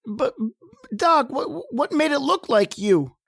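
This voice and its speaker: A woman playing a timid, uneducated male lab assistant who stammers and pleads in rough slangy speech. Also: high voice, very animated, slow pace, dry recording, clean studio sound, full character voice. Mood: scared. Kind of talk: old-time radio horror acting.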